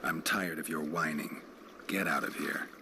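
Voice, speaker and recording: An actor playing a gruff, weary monster hunter in a video game, speaking in terse, deadpan phrases. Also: male voice, a bass voice, moderate pace, full character voice. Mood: curt, irritated. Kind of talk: video game cutscene acting. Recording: loud water noise behind game dialogue.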